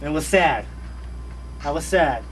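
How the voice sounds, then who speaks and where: Brief: high-pitched man, radio guest, slangy and repetitive, a little off-mic. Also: unhurried, recorded from a distance, slight background noise, poor audio. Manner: laid-back, animated, slangy, repeats phrases. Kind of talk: casual radio interview chat. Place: radio studio.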